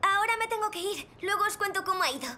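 A woman's voice: extremely high pitch, expressive, quick pace, very noisy. A woman voicing a sweet, earnest young girl in a children's cartoon, with a childlike manner. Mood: hopeful, proud. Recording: constant loud background music.

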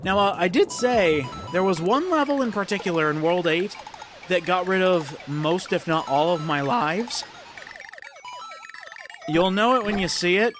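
A hoarse gameplay commentator, losing their voice to a cold, chatting casually and exclaiming at what happens on screen. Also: medium pitch, expressive, a little slow. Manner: chatty, exclaims at game events. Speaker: let's play commentator. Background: faint game music.

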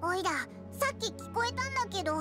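A woman voicing a small, cheeky, curious childlike fairy in an anime game, with a squeaky voice and blunt boyish exclamations. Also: lively intonation, quick pace, over music. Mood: curious, concerned. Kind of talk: anime video game voice acting.

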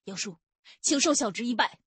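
A woman's voice: a little high, lively intonation, normal speed, husky, dry recording. Woman acting an earnest, emphatic young gang leader. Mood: respectful, earnest.

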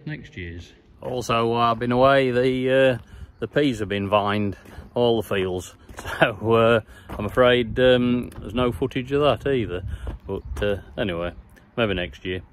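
A middle-aged man farmer giving a casual video diary, talking in a plain, unhurried way with many fillers, filmed on a phone held at arm's length. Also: mid-range voice, long reverb tail, slight background noise. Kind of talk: casual farm vlog. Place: farm sheds and yard, indoors and outdoors.

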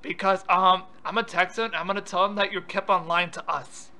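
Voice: mid-range voice, fairly even intonation, fairly quick, bright, forceful tone. A prank caller on a phone call, confrontational and insistent with questions.

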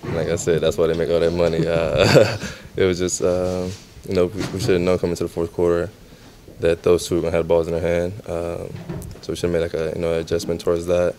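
A young man, a basketball player, giving low-key, halting post-game answers in a locker room, with many fillers. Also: little pitch movement, dark tone, slight background noise. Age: young adult.

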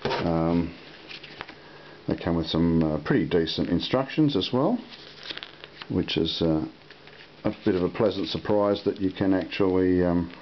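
A man with a deep, low voice talks through a product casually and without a script. He speaks haltingly and takes his time. The recording is muffled and lo-fi, with a clear hiss behind him. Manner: halting, unhurried. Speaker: product reviewer. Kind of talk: casual unscripted product demonstration. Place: indoor room at a table.

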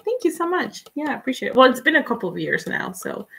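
A woman's voice: sits high, fast, gravelly. Spanish-accented woman teaching live painting. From Guatemala. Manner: relaxed, chatty, laughs often, answers viewers' comments. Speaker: painting instructor.

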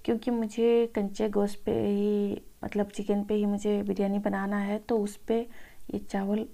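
A woman's voice: mid-range voice, completely flat delivery, unhurried, vocal fry, warm tone. A woman home cook talking viewers informally through a recipe, addressing them as friends. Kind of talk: informal cooking tutorial voice-over.

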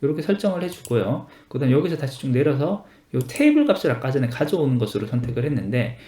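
A man narrating a step-by-step software tutorial in a polite, explanatory manner. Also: mid-range voice, very animated, fast talker, echoey room. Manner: explanatory, polite formal endings.

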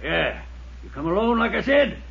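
Casting: wary, folksy desert prospector on the run, high creaky voice, 1950s radio drama. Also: male voice, big pitch swings, normal speed, a bit bright, heavily acted. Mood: wary, threatening. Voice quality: raspy, creaky. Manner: folksy, agitated, suspicious. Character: hunted desperate old desert prospector holding a gun. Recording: muffled lo-fi 1950s radio recording.

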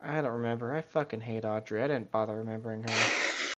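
A man's voice: lowish voice, fairly quick, sharp tone. A man casually reading a story aloud and commenting on it in a sarcastic, mocking way.

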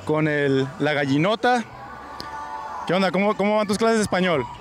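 A man's voice: sits high, animated, normal speed, over music. A man casually interviewing his teammates on a ballpark field with a handheld mic, laughing and joking. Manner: playful, laughs often, mixes in English phrases. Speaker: player and interviewer.

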